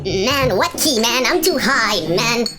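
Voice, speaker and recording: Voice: medium pitch, expressive, slow. An actor playing a dim, spaced-out addict in a comic sci-fi radio play, rambling and stammering in slack stoner talk. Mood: dazed. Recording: music behind the voice.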